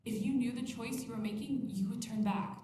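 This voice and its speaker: A woman's voice: fairly high pitch, brisk, close to an everyday voice. Echoey, distant, mysterious woman voiced by an actress. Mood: solemn pleading. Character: ominous mysterious woman, gently pleading and soothing. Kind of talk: video game voice acting. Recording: distant echoey voice under heavy noise.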